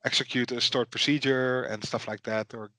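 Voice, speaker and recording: Man co-host, halting and filler-heavy, mid-pitched, dry close recording. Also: fairly even intonation, sharp tone, no echo. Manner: hesitant, many fillers. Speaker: podcast co-host. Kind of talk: casual chat.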